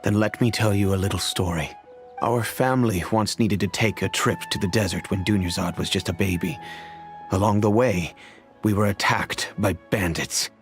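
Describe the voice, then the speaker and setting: A man's voice: lowish voice, a bit bright. A man playing a dignified middle-aged father and family head in a video game, speaking formally and solemnly. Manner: formal, grave, measured. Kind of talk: video game character acting. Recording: music behind the voice.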